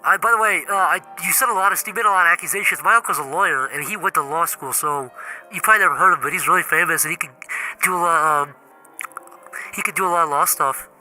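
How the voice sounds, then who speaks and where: A man's voice: fairly high pitch, sharp tone, soft background music, poor audio. A man voicing a needy, passive-aggressive Jesus who stammers his way through comedy voicemails. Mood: petulant, threatening. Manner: halting, stammering, whiny. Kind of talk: improvised comedy voicemail skit.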